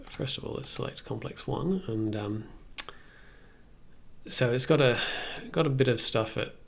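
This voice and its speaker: Gravelly man thinking aloud on a livestream. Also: mid-range voice, a little slow. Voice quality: gravelly, creaky. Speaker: music production streamer. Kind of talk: casual livestream commentary while working. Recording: faint noise, keyboard and mouse clicks.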